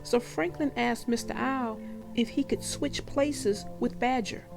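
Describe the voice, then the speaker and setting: A woman narrating for young children in an expressive, reassuring way, as if reading aloud and talking directly to them. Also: fairly high pitch, unhurried, vocal fry, music in the background, low-quality recording. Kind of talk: read-aloud storytelling and advice to young listeners.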